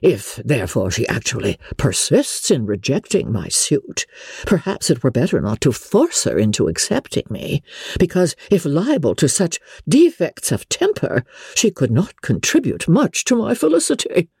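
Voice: mid-range voice, huge pitch swings, moderate pace, vocal fry, darkish tone, husky, no echo, studio quality, full character voice. A reader voicing a pompous, self-important young suitor in a formal, wordy manner, as in an audiobook. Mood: stiffly offended.

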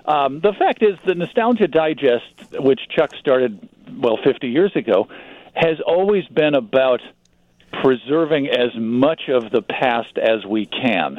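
A man's voice: mid-range voice, no echo, narrow, tinny audio. A middle-aged American man, a writer and publisher, sharing recollections as an enthusiastic, reflective guest in a radio interview. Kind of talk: anecdotal radio interview talk.

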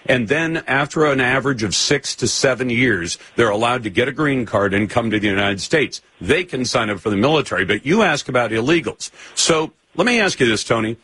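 A man's voice: medium pitch, lively intonation, fairly quick, hardly any pauses, pushed and bright, slightly rough. A man hosting a call-in radio talk show, pressing callers hard with pointed questions and cutting in.

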